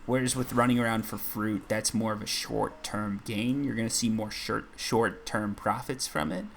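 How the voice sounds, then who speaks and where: A man with a low, raspy, gravelly voice talks casually over his gameplay, rambling from one point to the next and poking fun at himself. A faint hiss sits under the recording. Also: fairly even intonation, normal speed, fairly bright tone. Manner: rambling, self-deprecating, chatty. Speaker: gaming channel host.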